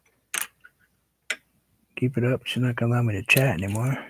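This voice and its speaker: A man hosting a painting livestream, chatting casually and relaxed with viewers, sometimes dropping to a soft whisper as he works. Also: mid-range voice, a little fast, noisy background. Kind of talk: casual chat while painting.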